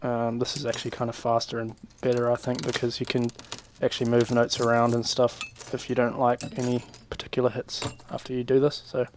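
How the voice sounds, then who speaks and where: A young man giving a casual, informal software tutorial, talking through each step in a laid-back, slangy way at a desk microphone. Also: mid-range voice, monotone, a little fast, few pauses, slight background noise. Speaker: music production tutorial presenter. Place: small home room, indoors. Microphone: desk microphone on a boom arm.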